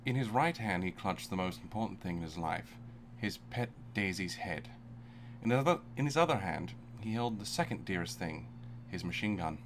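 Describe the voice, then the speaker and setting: A man's voice: low-pitched, animated, moderate pace, a little hiss or hum. Man laughing through a dramatic reading. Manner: reads aloud, often breaking into laughter. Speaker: narrator. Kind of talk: comedic fan-fiction reading.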